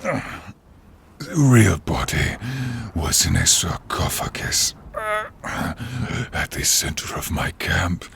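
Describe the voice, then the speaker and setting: A gravelly, very deep undead warlord. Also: male voice, sing-song, a bit bright, a little hiss or hum, heavily acted. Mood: gloating menace. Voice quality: gravelly, breathy, rasping. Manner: menacing, slow, theatrical. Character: contemptuous undead male warlord with a bitter grudge against knights. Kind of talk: scripted fantasy audio roleplay.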